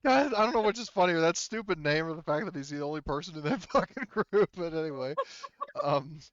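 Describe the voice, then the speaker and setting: A man's voice: on the high side, animated, a little fast, dry recording, lo-fi sound. A man acting as game master, narrating and chatting casually and informally, with some swearing.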